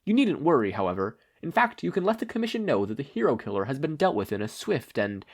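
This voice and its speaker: Man putting on a pompous gentleman hero, pitched up. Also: very animated, fast. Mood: pleased, businesslike. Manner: florid, old-fashioned gentlemanly phrasing. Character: genteel theatrical gentleman hero, pompous and courteous.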